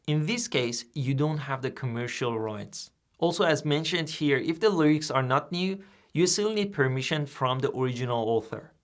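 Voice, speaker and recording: A man whose first language is Persian, hosting a software tutorial and explaining each step in a plain, steady way. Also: mid-range voice, normal speed. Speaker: tutorial host. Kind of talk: screen-recorded software tutorial.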